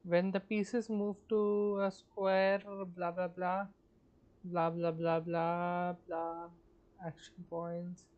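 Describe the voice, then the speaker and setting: A woman's voice: fairly low pitch, level tone, very slow, muffled recording. A woman live streaming a game, chatting casually and murmuring offhand to herself as she reads instructions aloud.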